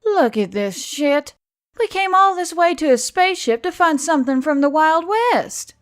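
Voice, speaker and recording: A woman's voice: sits high, lively intonation, moderate pace, pitched above the performer's own voice. A woman performs a hot-tempered female scavenger from an audiobook story. She is biting and sour, full of exasperated sarcasm, and music plays behind her.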